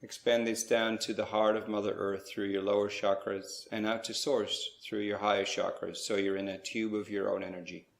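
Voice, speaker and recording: Low, even-toned man guiding a meditation, roomy echo. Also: creaky. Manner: measured delivery in short phrases with pauses. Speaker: guided meditation leader. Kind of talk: slow guided spiritual meditation.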